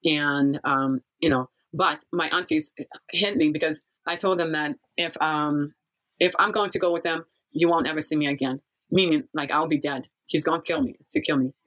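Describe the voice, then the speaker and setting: Person from kazakhstan, middle pitch voice, casual interview, kinda rambles and loses their place. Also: fairly even intonation, a bit bright, rough recording. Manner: rambling, halting, often loses thread. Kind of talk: personal life-story interview, casual speech.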